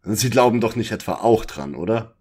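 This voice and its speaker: Husky man as a sarcastic boy detective. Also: fairly low pitch, lively intonation, normal speed, dark tone. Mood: probing. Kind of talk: German detective radio play parody.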